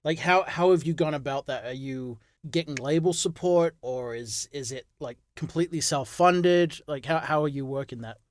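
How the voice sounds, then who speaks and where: A man's voice: on the high side, moderate pace, few pauses, no room sound, studio quality. A man hosting a podcast, asking questions in a casual, conversational style. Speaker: podcast host, interviewer. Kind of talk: casual chat.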